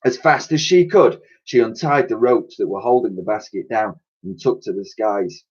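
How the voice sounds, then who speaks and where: Husky man reading a storybook dramatically. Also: lowish voice, moderate pace, a little mellow, muffled recording. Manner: theatrical, builds suspense with emphatic sound words. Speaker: teacher reading a children's book aloud.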